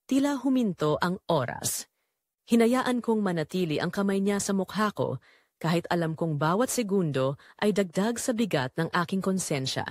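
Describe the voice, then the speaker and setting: A woman reading a romance story as dramatic scripted narration, in a measured, emotive way. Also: lowish voice, a little mellow, dry recording, clean studio sound.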